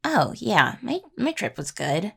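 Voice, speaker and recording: A woman playing an affectionate, teasing necromancer in an intimate audio roleplay, talking softly and playfully to a partner, with a husky, gravelly voice. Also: mid-range voice, sing-song, measured pace, heavily acted. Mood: caring. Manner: soft, playful, casual delivery with sighs and murmured asides. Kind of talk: intimate audio roleplay acting, addressed to a listener.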